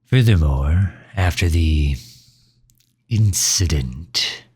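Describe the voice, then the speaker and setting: Guy doing a suave villain boss, super deep voice, slow and pompous, bit breathy, asmr roleplay. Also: expressive, darkish tone, no room sound, clean studio sound, heavily acted. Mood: smug, explaining. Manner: pompous, leisurely, formal wording. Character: suave megalomaniac villain, pompous and impatient with his servant.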